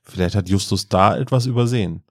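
A man's voice: fairly low pitch, brisk, no echo, studio quality. A man co-hosting a podcast, chatting casually and throwing in wry jokes. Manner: joking, wry asides. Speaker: podcast co-host. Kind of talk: casual banter.

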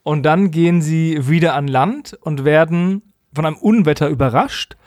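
Higher-voiced man chatting wryly, dry sound. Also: moderate pace, a little mellow, no room sound. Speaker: podcast co-host. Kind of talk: casual chat, recapping an audio drama.

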